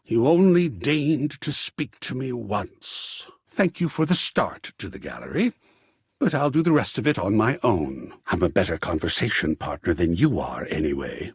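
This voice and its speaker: A theatrical living artwork, wildly swooping pitch, husky, pompous, florid, sensitive, video game character. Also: male voice, dry recording, clean studio sound, exaggerated voice. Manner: florid, dramatic, pompous phrasing.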